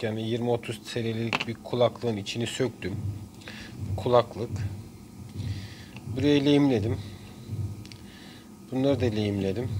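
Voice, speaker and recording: A man giving a casual hands-on tutorial in short phrases with frequent pauses. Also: medium pitch. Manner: halting, pausing between short phrases. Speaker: DIY video maker. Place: indoors at a workbench. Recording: clear background noise, room ambience.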